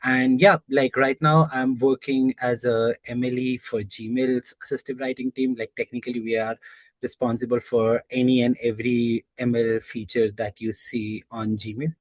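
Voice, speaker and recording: A young adult man from Nepal, a guest explaining his work in a casual, measured way with frequent "like" fillers over a video call. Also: mid-range voice, little pitch movement, no room sound. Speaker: guest, software engineer. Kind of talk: casual interview answers.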